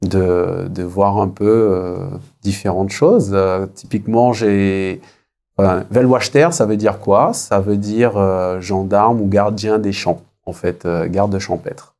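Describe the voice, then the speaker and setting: Low, mellow-voiced man in his thirties. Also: fairly even intonation. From French Guiana. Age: 30s. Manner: hesitant, reflective, pausing often. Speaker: interview guest. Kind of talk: conversational interview answers.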